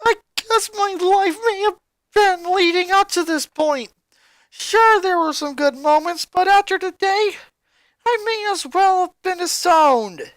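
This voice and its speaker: A woman acts out a young boy with a very high voice, hurt and bitter, pouring out his grievances with emphatic emotion. The sound is dry and close, like a dramatic story reading. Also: unhurried, no echo. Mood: anguished, tearful. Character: angry, hurt young boy venting about his family. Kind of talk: dramatic fan fiction character reading.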